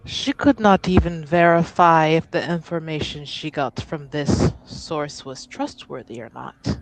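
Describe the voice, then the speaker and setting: A woman voicing a weary, formal noblewoman in a tabletop game, with a measured, guarded and exasperated manner. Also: deep voice, low-quality recording. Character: weary, formal noblewoman acting for her house.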